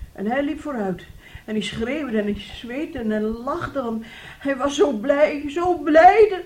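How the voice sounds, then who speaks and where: A troubled, motherly aunt in a radio drama. Her voice sits fairly high, and she soothes and recounts with halting, emotive phrasing. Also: a little mellow, poor audio. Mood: anguished. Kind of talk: Dutch radio play acting.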